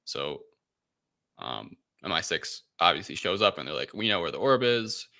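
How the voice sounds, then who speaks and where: Very bright, deep-voiced man chatting. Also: very animated, moderate pace, vocal fry, no echo, clean studio sound. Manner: informal, loosely improvised retelling with quoted asides. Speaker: podcast host recapping a film. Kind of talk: casual film recap chat.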